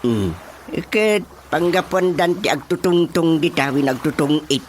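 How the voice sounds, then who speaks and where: Ilocano na ama ginagampanan ng lalaki, mapagsuporta. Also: male voice, a little high, measured pace, a little hiss or hum, close to an everyday voice. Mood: encouraging, advising. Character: supportive older Filipino father advising his daughter. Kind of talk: radio drama acting. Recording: radio drama with faint music behind.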